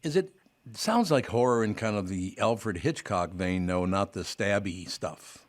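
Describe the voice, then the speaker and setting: A radio host with a fairly low male voice interviews a guest. He is jokey and effusive, piling on praise. His sentences ramble and restart as he talks. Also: expressive, a little slow.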